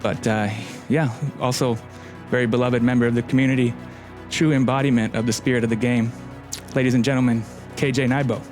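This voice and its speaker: Male interview host, chatty, mid pitch, faint music behind. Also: steady tone, brisk, darkish tone. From Finland. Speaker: podcast host. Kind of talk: hosting an interview.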